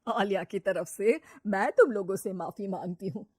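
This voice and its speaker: A woman's voice: mid-range voice, moderate pace, no room sound, close to an everyday voice. Woman as a humble, kindly village mother-in-law, dry recording. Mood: forgiving. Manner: kindly, forgiving, soft-hearted. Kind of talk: acted story dialogue.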